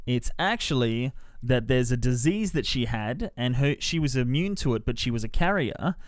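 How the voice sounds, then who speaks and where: A man's voice: mid-range voice, lively intonation, moderate pace, dry recording. Clean, dry-sounding man chatting about films. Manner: sweary, mimics characters in quotes. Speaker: podcast host reviewing films. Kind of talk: casual spoiler-filled movie review chat.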